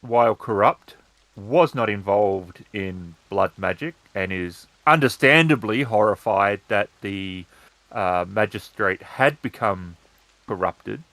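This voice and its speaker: A man narrating a tabletop roleplaying game as game master, in a halting, measured way. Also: lowish voice, a bit bright, dry recording, rough recording.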